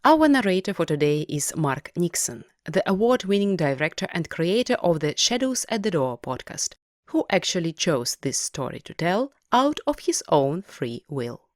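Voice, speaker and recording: Low-voiced, dry-sounding woman podcast host. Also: very animated, normal speed, dry recording. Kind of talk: scripted podcast narration.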